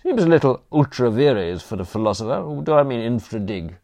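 A man performs a dim but affable gent in a storybook. His pitch rises and swoops as he muddles along, chummy, hesitant and easily baffled. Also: very animated, brisk, creaky, warm tone. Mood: puzzled, cheerful. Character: affable, dim-witted upper-class English gentleman, easily baffled. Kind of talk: audiobook character dialogue.

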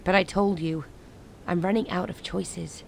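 An actress playing a grave, resolute woman who leads a besieged rebel city in a radio play, speaking in measured, formal lines. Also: lowish voice, fairly even intonation, gravelly, a little hiss or hum, low-quality recording. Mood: resigned, resolute. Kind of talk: dramatic radio play dialogue.

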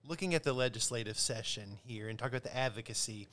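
A man hosting a nursing podcast, asking measured, multi-part questions. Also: medium pitch, a bit bright. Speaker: podcast host.

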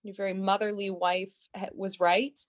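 A woman playing a concerned doctor in improvised comedy, talking in a halting, conversational way. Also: mid-range voice, little pitch movement, pitched below the performer's own voice, close to an everyday voice. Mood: concerned, hesitant. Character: hesitant, concerned doctor judging a couple.

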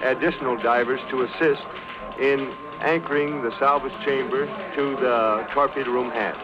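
A man's voice: mid-range voice, fairly even intonation, moderate pace, noisy background. A man explaining as an expert guest in a formal 1930s radio interview. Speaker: submarine expert being interviewed. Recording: dull lo-fi 1930s radio, music behind.

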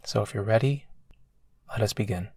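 A man leading a guided meditation as a coach, speaking slowly and soothingly with long pauses. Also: on the low side. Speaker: meditation coach guiding a session. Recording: loud ambient meditation music behind. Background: ambient new-age music with singing bowls.

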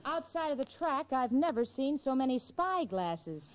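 A level-headed young city roommate with a wry, breezy wit in a 1940s radio sitcom. Her pitch is high and swings widely. The recording is dull and old. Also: sing-song, moderate pace, heavy background noise.

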